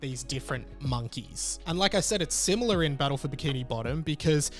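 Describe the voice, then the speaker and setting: A man in his thirties with a fairly high voice hosts a video essay. He talks conversationally, leaning on key words with enthusiasm. Soft music plays behind him. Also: animated, fairly quick. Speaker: video creator and host. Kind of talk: semi-scripted video essay narration to camera.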